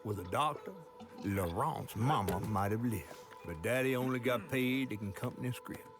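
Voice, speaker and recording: An actor voicing a folksy, wry and caring talking fish in a video game, telling stories with a gravelly Southern US accent. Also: male voice, medium pitch, close to an everyday voice. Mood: somber storytelling. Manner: folksy, expressive, unhurried storytelling. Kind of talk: theatrical storybook narration and game dialogue. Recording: music under the voice.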